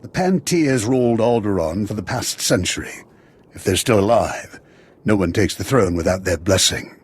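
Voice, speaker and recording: An actor playing a dignified, earnest leader in a video game, husky and gravely formal. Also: male voice, on the low side, lively intonation, moderate pace. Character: earnest, dignified male Republic leader giving briefings. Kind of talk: video game cutscene acting.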